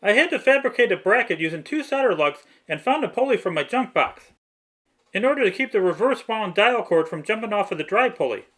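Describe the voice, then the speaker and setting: A man's voice: high-pitched, expressive, fairly quick, a bit bright. A man reading scripted how-to narration for a hobby restoration in a methodical, matter-of-fact way. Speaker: hobbyist restorer narrating own repair video.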